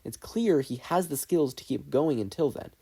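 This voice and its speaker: A man's voice: mid-range voice, animated, normal speed, gravelly, dark tone, breathy edge. A man voicing an earnest, idealistic teenage boy student in an audiobook, arguing emphatically. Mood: impassioned, persuasive. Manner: emphatic, argumentative.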